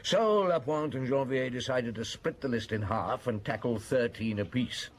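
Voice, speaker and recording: An actor playing a shrewd, courteous French police chief inspector in a radio drama, with a dry, probing manner. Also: male voice, mid-range voice, normal speed, natural voice. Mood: reflective narrating.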